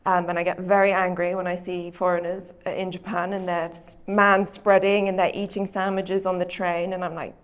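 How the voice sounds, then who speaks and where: A woman's voice: lowish voice, little pitch movement, normal speed, few pauses, clean tone. Thoughtful British woman author, hesitant. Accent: British English. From Britain. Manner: thoughtful, hesitant, with frequent fillers. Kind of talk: reflective conversation on stage.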